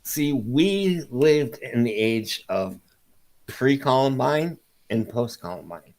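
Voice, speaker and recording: Man, forties, mid-pitched, casual co-host, short remarks, steers the topic. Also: expressive, slow, darkish tone. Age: 40s. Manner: brief interjections, steering the conversation. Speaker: podcast co-host. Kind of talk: casual true-crime chat.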